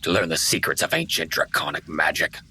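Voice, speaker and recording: A man voicing a huge, ancient male dragon, grumpy, proud and weary, with a gravelly voice, in a fantasy tabletop game. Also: very deep voice, a little fast, sharp tone, heavily acted. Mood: menacing, proud.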